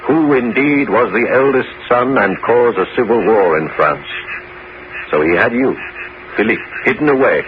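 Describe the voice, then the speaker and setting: An actor playing an aging bishop and former musketeer in a 1970s radio drama, grave and resolute, with theatrical, deliberate, emphatic phrasing. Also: male voice, fairly low pitch, natural voice. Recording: muffled, noisy 1970s radio broadcast recording.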